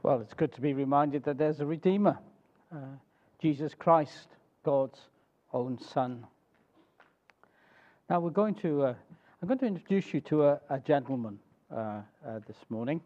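A middle-aged man with a Welsh accent speaking as a preacher, telling a story to a congregation in a halting, conversational way with little check-in questions. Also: on the high side, expressive, darkish tone, highs cut off. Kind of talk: informal sermon telling a missionary biography to a congregation.

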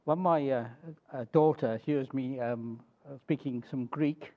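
A middle-aged man with a Welsh accent speaking as a preacher, telling a story to a congregation in a halting, conversational way with little check-in questions. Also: medium pitch, highs cut off. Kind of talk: informal sermon telling a missionary biography to a congregation.

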